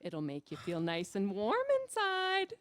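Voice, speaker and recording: A wildly swooping, wheedling wife. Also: female voice, mid-range voice, wildly swooping pitch, measured pace, a bit bright. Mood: coaxing. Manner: wheedling, teasing. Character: demanding, coaxing wife nagging her husband. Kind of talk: comic radio sketch acting.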